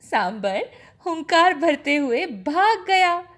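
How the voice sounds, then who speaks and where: A woman with a high voice tells a children's story. She goes slowly and expressively, with wide swings of intonation that give the telling drama. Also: very animated, fairly bright tone.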